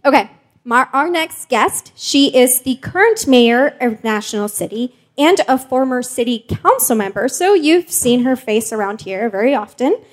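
Female panel host from Mexico, slightly higher-pitched voice, informal and chatty delivery for a live conversation. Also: lively intonation, moderate pace. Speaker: host and journalist. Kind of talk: casual live panel hosting.

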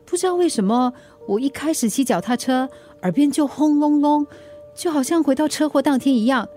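An actress playing a plucky, stubborn delivery rider and mother in a radio drama, expressive and colloquial with a Singaporean accent. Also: high-pitched, a little fast, soft background music, natural voice. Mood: troubled. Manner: expressive, colloquial, self-urging. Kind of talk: Mandarin radio drama acting.

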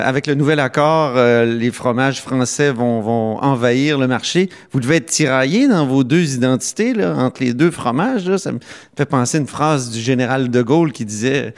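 A man with a mid-pitched voice and a Québécois accent. He works as an interviewer, putting questions in an informal, conversational style and often restarting his phrases.

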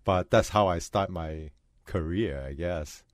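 A man tells how he came to run restaurants, relaxed and chatty in a radio interview. His voice sits on the low side. The sound is close and dry. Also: unhurried, no room sound. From Hong Kong. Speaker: chef and restaurant owner.

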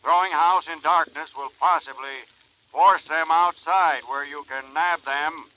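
An actor playing a hesitant, deferential American police officer in a 1940s radio drama. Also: male voice, a little high, fairly even intonation, unhurried, bright, forceful tone. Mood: reading aloud. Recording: dull vintage 1940s radio recording.

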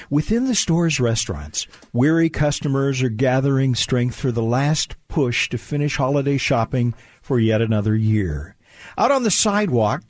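A man acting as the genial narrator of an old-time holiday radio play, with polished, deliberate storyteller phrasing. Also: mid-range voice, a little mellow, no echo.

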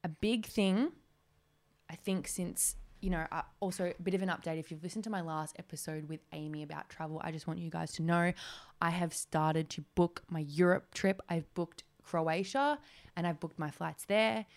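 Chatty young woman, low voice. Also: fairly even intonation, normal speed, a little mellow. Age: 20s. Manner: chatty, rambling, many "like"s. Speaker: podcast host. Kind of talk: solo podcast chat.

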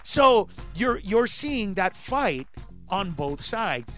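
Animated comedian man with music under. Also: high voice, extremely slow. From Honduras. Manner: animated, rising to shouts when acting out bits. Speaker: stand-up comedian, guest. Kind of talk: casual interview chat.

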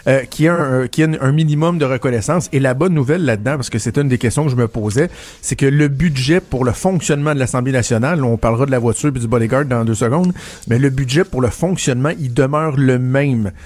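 A man's voice: medium pitch, quick pace, a little mellow, no echo. Québécois man telling political anecdotes. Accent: Québécois French. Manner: storytelling, many restarts and repeated words. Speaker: political commentator. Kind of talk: casual radio chat.

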